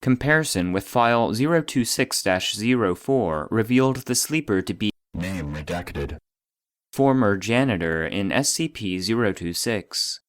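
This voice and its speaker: An actor voicing an impersonal database that reads out classified reports in a measured, official, detached manner. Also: male voice, lowish voice, lively intonation. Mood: clinical, detached. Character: formal, impersonal male database voice reading classified logs. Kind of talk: scripted narration of clinical reports.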